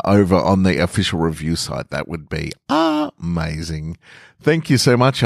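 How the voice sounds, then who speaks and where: A man's voice: deep voice, dry recording, clean studio sound. A man hosting a podcast, speaking earnestly and gratefully to listeners in a sincere sign-off. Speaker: podcast host and story reader.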